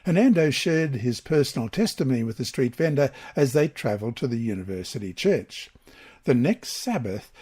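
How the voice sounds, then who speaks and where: A man reading a mission story aloud as scripted narration, in a slow, measured way. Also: mid-range voice, dark tone.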